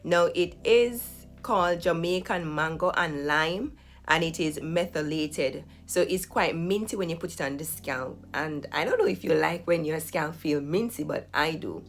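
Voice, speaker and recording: A woman recovering from a flu, her voice slightly hoarse, chatting through a hair care routine step by step to camera at home. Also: sits low, a little slow. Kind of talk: casual tutorial talk to camera.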